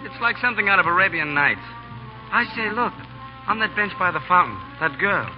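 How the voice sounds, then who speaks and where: An actor playing an earnest, boyish young lieutenant, polite and eager, in a 1930s radio drama. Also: male voice, high-pitched, expressive, a little fast, faint music behind. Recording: dull 1930s radio recording.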